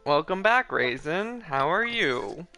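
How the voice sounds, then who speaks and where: Bright-voiced man streaming a game. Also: fairly high pitch, big pitch swings, slow, vocal fry. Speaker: livestream host playing a video game. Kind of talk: casual chat and game commentary. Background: faint game music.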